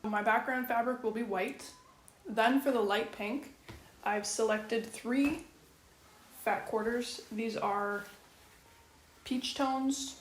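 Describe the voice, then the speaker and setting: A woman's voice: fairly high pitch, fairly even intonation, takes it slowly, long pauses, slight background noise. A woman making a casual quilting video at home, talking in a chatty, wry, matter-of-fact way. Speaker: quilting vlogger. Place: home kitchen. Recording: echoey room with faint ambience.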